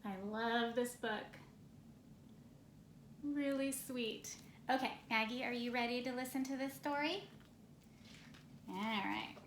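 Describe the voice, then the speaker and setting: A woman reading a picture book aloud expressively, with gentle, playful, drawn-out phrasing and casual asides. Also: a little high. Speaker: storybook reader. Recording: roomy echo, some background noise.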